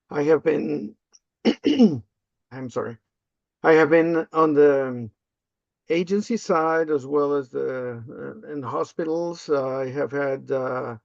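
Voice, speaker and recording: An older man from Peru, a native Spanish speaker, answering interview questions casually and haltingly with frequent ums and uhs. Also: a little high, creaky, darkish tone, no echo. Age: 50s or older. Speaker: interview guest, language access specialist. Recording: slightly dull, lo-fi close audio.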